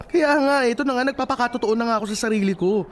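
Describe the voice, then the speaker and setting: A man's voice: very high-pitched, fast. A man acting a stubborn young man who denies being in love, in casual, defensive radio drama dialogue. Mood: resolute.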